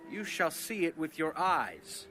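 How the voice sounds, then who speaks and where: An actor playing a stern prophet in an audio Bible drama, declaiming solemnly. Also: male voice, a little high, big pitch swings, a little slow, bright, forceful tone, soft background music, full character voice. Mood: solemn, forceful. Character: stern prophet solemnly proclaiming God's word.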